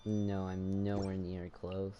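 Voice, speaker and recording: A man casually commenting on a game as he plays, thinking aloud in a halting, rambling way. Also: sits low, monotone, dull audio, music in the background. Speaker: gamer playing and commenting.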